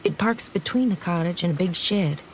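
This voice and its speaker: An actress as a low-voiced, wry, dazed young woman. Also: normal speed, slight background noise, close to an everyday voice, low-quality recording. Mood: wary. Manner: casual, wry, hesitant. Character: confused amnesiac young woman left for dead, wry. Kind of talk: first-person dramatized audiobook narration. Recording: dramatic music behind the voice.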